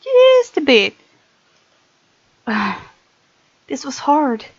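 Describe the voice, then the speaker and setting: A woman's voice: high-pitched, huge pitch swings, drawn-out words. Chatty woman with a dull, lo-fi mic. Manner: chatty, giggly asides while reading aloud. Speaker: gaming video host. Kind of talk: playthrough commentary mixed with reading visual novel text aloud.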